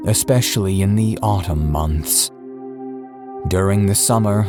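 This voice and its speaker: Male, low pitch, horror audiobook narrator, measured and deliberate delivery, clear music bed underneath. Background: background music.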